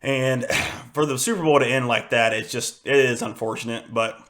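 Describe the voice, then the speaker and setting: A hoarse young man talks through a game on his solo podcast, his voice worn thin from screaming. He rambles in a flat, monotone way, stacking fillers and restarts, and sounds frustrated. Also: mid-range voice, normal speed. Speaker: podcast host. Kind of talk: casual solo sports podcast.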